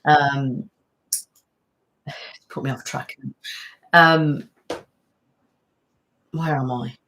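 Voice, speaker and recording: A woman's voice: extremely low pitch, steady tone, extremely slow, a bit bright, rough recording. A woman hosting a casual live-stream chat, rambling, laughing often and thanking viewers by name. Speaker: ASMR channel host.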